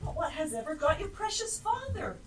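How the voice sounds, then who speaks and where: A woman's voice: extremely high pitch, very animated, fairly quick. A woman playing an affectionate, fussing mother of a poor family in a live stage play, speaking theatrically. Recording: echoey stage, distant mic, clear noise.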